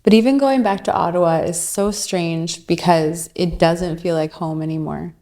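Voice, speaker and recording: A woman's voice: lowish voice, normal speed, mellow. A woman, chatting as a podcast guest, upbeat and full of ums. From Canada. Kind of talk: casual podcast chat.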